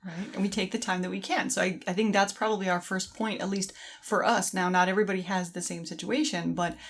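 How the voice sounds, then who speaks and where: A woman's voice: mid-range voice, steady tone, quick pace. A middle-aged woman co-hosting a podcast in casual conversation, speaking in an agreeable, encouraging manner. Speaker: podcast co-host.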